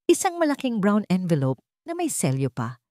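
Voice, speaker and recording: A woman narrating a scripted drama story in an even, deliberate storytelling manner. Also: medium pitch, no room sound, clean studio sound.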